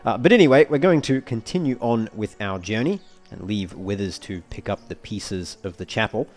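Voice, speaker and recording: A man giving casual let's play commentary as a walkthrough host, explaining things in a measured, wordy, slightly formal way. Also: on the low side, animated, darkish tone, faint music behind.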